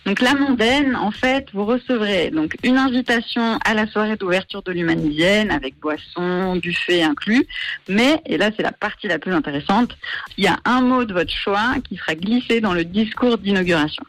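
A woman's voice: mid-range voice, moderate pace, low-quality recording. Hesitant French woman radio guest. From Marseille, France. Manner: hesitant, many fillers, friendly. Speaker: guest project founder. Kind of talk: radio interview.

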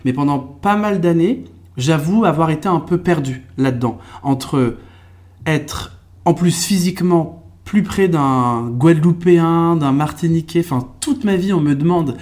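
A young man from France, a podcast guest, telling his life story in a relaxed, reflective way with lots of fillers and restarts. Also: on the high side, measured pace. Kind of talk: casual conversational storytelling.

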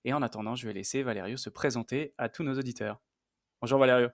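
A man's voice: medium pitch, fairly even intonation, quick pace, vocal fry, no echo, studio quality. A man hosting a podcast, reading a scripted intro and then asking questions that trail off with fillers. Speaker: podcast host.

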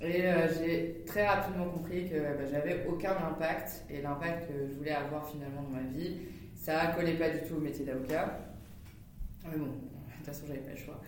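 Guest voice: woman in her thirties, animated and fast in bursts, recorded far off the mic in a very echoey room with a low rumble. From Netherlands. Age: 30s. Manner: animated, laughs often, talks fast in bursts. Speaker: startup founder, podcast guest. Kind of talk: casual interview storytelling.

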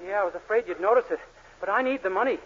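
A naive, eager young male clerk in a 1940s radio comedy. He talks fast in a high, guileless voice. The recording sounds muffled and old. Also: breathy edge. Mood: wheedling. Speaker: sitcom lead actor.

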